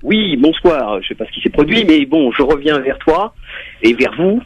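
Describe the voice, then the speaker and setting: Man, radio caller, husky, dull lo-fi phone sound, halting. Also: fairly high pitch, sing-song. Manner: hesitant, restarts often, then rants. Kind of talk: call-in radio talk. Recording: muffled phone line on radio.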